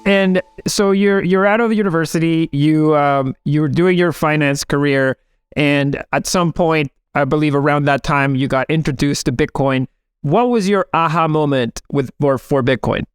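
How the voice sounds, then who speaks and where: A man's voice: fairly high pitch, normal speed, no room sound, clean studio sound. A man hosting an interview, opening with scripted narration and then asking his guest questions. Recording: clear music bed under voice.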